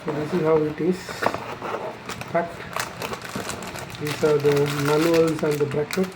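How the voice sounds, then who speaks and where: A person giving an unscripted, explanatory product demonstration in a halting, matter-of-fact way, pausing mid-phrase. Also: mid-range voice, fairly even intonation, heavy background noise. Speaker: installer presenting a product tutorial.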